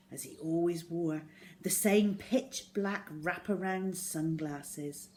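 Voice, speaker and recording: A woman reading a children's story aloud in an expressive way, acting out the words as she goes. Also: fairly low pitch, slight background noise. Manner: expressive, acts out dialogue and sound words.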